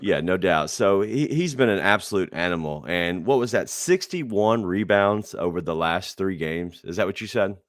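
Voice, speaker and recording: A man hosting a casual sports podcast, upbeat and quick to hype things up. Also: deep voice, expressive, no room sound, clean studio sound. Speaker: podcast host.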